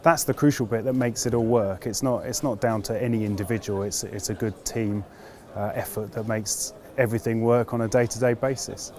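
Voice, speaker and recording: British man, measured and understated. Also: lowish voice, fairly even intonation, warm tone. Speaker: air traffic controller interviewee. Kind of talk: reflective interview answers.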